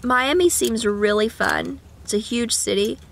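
Young woman vlogger, a bit sick with a cough, gravelly fry, rambling chat to a handheld camera in her car. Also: fairly high pitch, unhurried, a bit bright. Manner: rambling, chatty, with sing-song asides. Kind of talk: casual chat. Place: parked car. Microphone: camera at arm's length.

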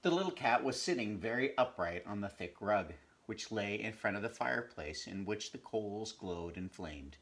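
Older man reading aloud, lowish voice. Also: expressive, normal speed, few pauses, fairly bright tone. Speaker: storybook reader. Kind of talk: read-aloud storybook narration. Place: small home bedroom, indoors.

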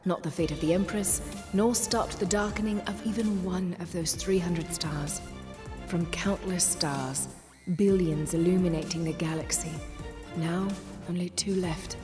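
A cool and secretive alien spy mistress, voiced by a woman for a motion comic. She reports in a crisp, formal, measured manner with a fairly low voice. Faint music sits under her lines. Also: fairly even intonation, lightly acted. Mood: quietly resolute. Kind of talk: fan motion comic voice acting.

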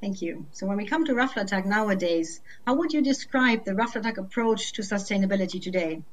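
A woman's voice: medium pitch, lively intonation, a little fast, rough recording. Brief: young woman, webinar host, friendly scripted moderator questions, video call.

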